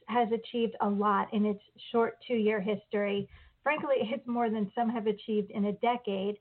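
A woman hosting a podcast interview, reading a measured scripted introduction and then asking questions. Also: a little high, phone-quality sound. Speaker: podcast host and editor.

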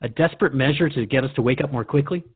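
A man's voice: medium pitch, quick pace, husky, no room sound, narrow, tinny audio. A man hosting a radio dialogue, speaking in an earnest, reflective and questioning way, like an interviewer in a scripted exchange. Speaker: radio host.

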